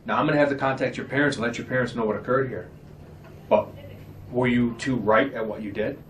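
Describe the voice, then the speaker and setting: A man's voice: mid-range voice, steady tone, a little fast, fairly bright tone, a little hiss or hum, natural voice. A man playing a stern, orderly school disciplinarian in an amateur dramatization, questioning in a firm, formal way. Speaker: school administrator questioning students.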